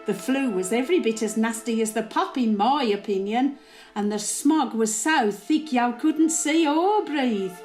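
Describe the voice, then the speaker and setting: A woman performs an elderly working-class widow with a Birmingham accent in a dramatic monologue. Her voice is high and her delivery slow, rambling and confiding. She is opinionated, tender and given to grumbling, with faint music in the recording. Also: darkish tone. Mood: reflective, resolute. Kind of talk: dramatic monologue in character, addressed to an absent husband.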